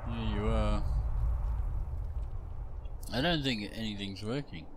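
A man giving casual, low-key gameplay commentary, muttering as he plays. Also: slow. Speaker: gamer narrating his playthrough. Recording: loud game noise behind the voice.

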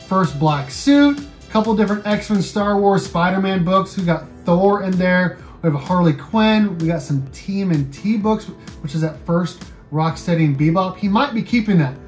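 An American man in his thirties hosting a casual video, running through items for sale in a room at home. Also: high-pitched. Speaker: YouTube channel host. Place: small room at home, indoors.

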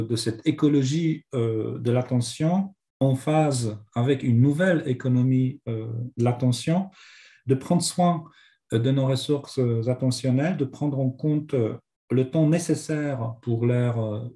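A man's voice: medium pitch, dark tone. A man with a Polish accent and a cold, giving a hesitant academic lecture over a video call, with many fillers. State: has a cold. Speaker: seminar speaker. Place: home office on video call.

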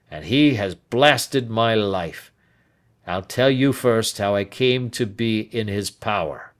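A man voicing a dying, remorseful older man in an audiobook, speaking earnestly with a slow, heavy delivery. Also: medium pitch, pitched above the performer's own voice. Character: aging male rancher, dying and remorseful. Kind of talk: audiobook character voice.

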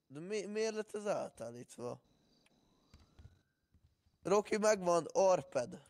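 High-pitched man chatting in a game. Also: expressive, a little mellow. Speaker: fellow player in voice chat. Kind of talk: casual in-game chat.

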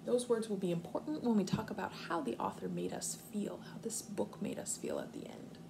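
A young woman reads a picture book to young children in an expressive, swooping voice with some vocal fry. She pauses to explain in simple, deliberate words, as a kindergarten teacher does. It is a home recording with faint room noise. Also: medium pitch. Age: young adult. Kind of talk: children's read-aloud with explanatory asides. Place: living room at home, indoors.

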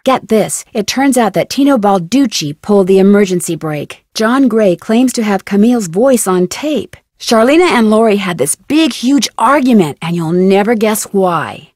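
A woman's voice: mid-range voice, darkish tone, no echo, studio quality, natural voice. A woman playing a friendly, curious young American amateur detective in a video game, speaking in a perky, inquisitive, conversational way. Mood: eager, confiding. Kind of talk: scripted game dialogue, conversational.

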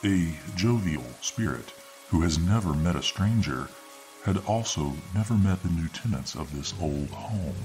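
A man narrating a scripted spooky audio story in a slow, deliberate way, with music behind him. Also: deep voice, lively intonation, a little mellow, low-quality recording. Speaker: ASMR storyteller. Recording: narration over music and rain sounds.

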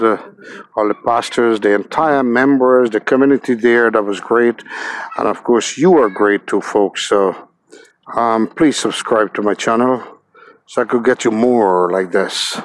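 A man's voice: mid-range voice, no room sound, low-quality recording. A man hosting his own vlog from a church event, chatting, laughing and urging viewers to subscribe. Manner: chatty, laughing, repeats "of course". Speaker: vlogger host. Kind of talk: casual vlog chat. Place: church banquet hall, then outdoors at night. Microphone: handheld phone camera.

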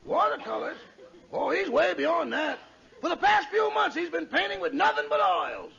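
An actor playing a cheeky, good-natured valet with a gravelly voice in 1940s radio comedy, on an old recording. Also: male voice, very high-pitched, expressive, normal speed, sharp tone, muffled recording, exaggerated voice. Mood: cheeky, matter-of-fact. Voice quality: gravelly, raspy.

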